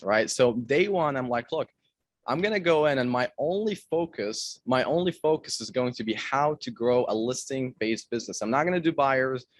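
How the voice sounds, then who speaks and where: A young man from Iraq giving an energetic, direct talk to an audience as a guest speaker, repeating phrases for emphasis. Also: medium pitch, dry recording.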